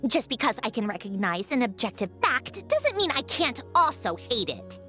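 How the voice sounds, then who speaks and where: An actress voicing a haughty, prickly young genius lady automaton in a video game, huffy and easily flustered, with a secretly soft heart. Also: fairly high pitch, very animated, moderate pace, faint music behind. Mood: grudging, flustered. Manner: haughty, huffy, flustered when caught being kind. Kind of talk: scripted video game character voice acting.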